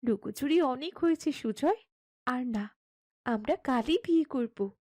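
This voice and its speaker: A woman's voice: high-pitched, expressive, unhurried, a little mellow, dry recording, studio quality. A woman voicing a headstrong, loving young daughter of a landlord in a cartoon story, speaking earnestly and insistently. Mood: determined. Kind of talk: Bengali cartoon story acting.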